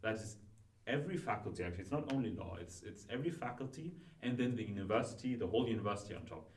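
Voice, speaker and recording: A student guest talking casually on a podcast, hesitant and full of restarts. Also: male voice, lowish voice, lively intonation, rapid-fire, slight background noise. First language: not Dutch. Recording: roomy, from far off.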